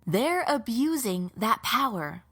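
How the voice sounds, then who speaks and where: A woman playing a devout, defiant young warrior in a fantasy audio drama, speaking earnestly and with deliberate conviction. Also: fairly high pitch, sing-song, fairly bright tone, husky, heavily acted. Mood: urgent, indignant.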